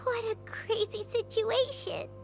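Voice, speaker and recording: A woman voicing a small, childlike, excitable fairy companion in a video game, squeaky and openly emotional. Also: unhurried, gravelly, exaggerated voice. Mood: distraught. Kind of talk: dramatic video game voice acting. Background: background music.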